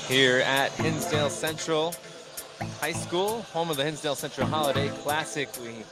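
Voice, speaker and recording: A man with a fairly high voice gives live basketball play-by-play on the radio. Music can be heard clearly behind him. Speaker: play-by-play announcer.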